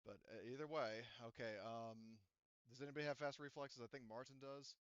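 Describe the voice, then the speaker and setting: A man's voice: medium pitch, fairly even intonation, fairly quick, clear, resonant tone, no room sound, dull audio. A man speaking casually as game master of a tabletop session, wry and chiding with his players.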